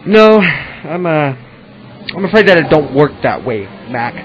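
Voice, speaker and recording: A man's voice: on the high side, big pitch swings, a little slow, noisy background. A man playing a smug, corrupt policeman with an oily, taunting manner in a noir radio play. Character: smug, corrupt male police officer shaking down a detective. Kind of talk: 1940s radio noir acting. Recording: dull lo-fi audio with music.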